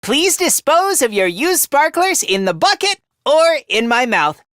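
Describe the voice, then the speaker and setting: A man voicing a timid, self-deprecating yet excitable teenage boy in a video game, with a hesitant, stammering delivery and theatrical anime-style acting. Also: very high-pitched, measured pace, no echo, studio quality, full character voice. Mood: nervous, resolute. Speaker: voice actor.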